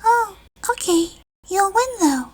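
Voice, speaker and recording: A sweet little girl, acted by a woman reading a story, high in pitch and childlike. She sounds pouty and young, like a small character in an audiobook. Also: lively intonation, slow pace, heavily acted. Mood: reluctant, pouty.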